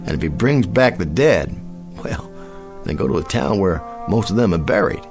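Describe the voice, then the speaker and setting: An American actor in a radio drama playing a smooth-talking, grandiloquent frontier showman who claims to raise the dead. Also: deep voice, lively intonation, normal speed, music in the background. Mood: sly, boastful. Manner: theatrical, grandiloquent, folksy salesman patter.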